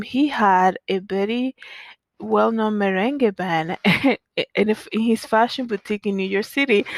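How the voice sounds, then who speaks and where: Chatty Dominican-born woman with a gravelly edge. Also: medium pitch, no room sound. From Dominican Republic. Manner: chatty, many "you know" fillers and restarts. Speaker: fashion designer and vlogger. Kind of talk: casual storytelling monologue.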